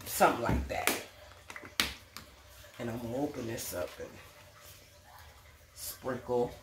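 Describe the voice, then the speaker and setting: An American woman talks through a home cooking demonstration in a casual, folksy way. Her pitch moves around a lot while her pace stays slow. The room rings around her, with clear noise behind. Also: animated. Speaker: home cooking show host. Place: indoors in a home kitchen. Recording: roomy, clearly noisy home recording with clicks.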